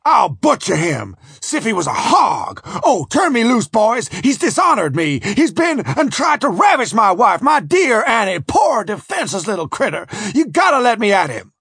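An enraged American Southern backwoods husband, bent on vengeance. He shouts and snarls his threats in a high, husky voice, swinging wildly in pitch as in a dramatic audiobook. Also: huge pitch swings, moderate pace, few pauses, no room sound, clean studio sound, heavily acted. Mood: frenzied rage.

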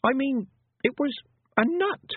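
A man voicing an earnest, high-pitched young girl. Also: expressive, measured pace, no room sound, phone-quality sound. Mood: baffled. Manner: questioning, reproachful.

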